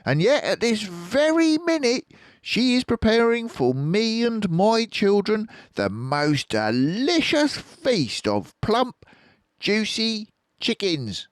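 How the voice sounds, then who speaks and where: A man's voice: high-pitched, sing-song, slow, no echo, pitched above the performer's own voice, full character voice. A man voicing a confident, generous, scheming male fox for a children's storybook, grandly persuasive and savouring his words.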